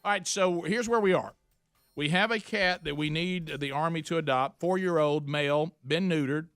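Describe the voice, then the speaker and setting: A man's voice: fairly high pitch, normal speed, a bit bright, clean tone, dry recording, faint music behind. A man hosting a radio talk show in easy, chatty banter. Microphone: studio microphone.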